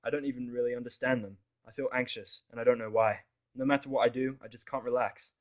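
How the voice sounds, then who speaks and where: Mid-pitched male story narrator. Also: fairly even intonation, fast. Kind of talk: first-person scripted fiction narration.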